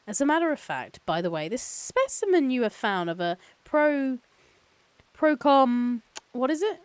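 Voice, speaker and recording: An eager, polite businessman on a phone call in a novel, as a woman reads him out. The pitch is lifted and very animated, with an effusive, businesslike manner. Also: measured pace, clear, resonant tone, no echo. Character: brisk, chatty, polite male corporate lawyer on the phone. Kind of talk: novel read-aloud dialogue.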